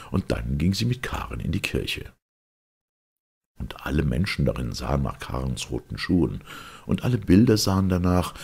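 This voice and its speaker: A man narrating a fairy tale as an expressive audiobook reader, with a gravelly, very husky voice. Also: very deep voice.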